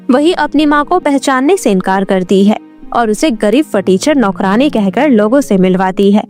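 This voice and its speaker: A woman's voice: fairly high pitch, a little mellow. Enchanted gown for a storybook: stern, declamatory moral judge, voiced by a woman narrator.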